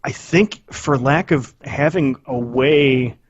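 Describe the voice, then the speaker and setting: A man's voice: mid-range voice, slow, breathy edge, lo-fi sound. A man co-hosting a podcast in a casual, informal way, swearing freely. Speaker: podcast co-host.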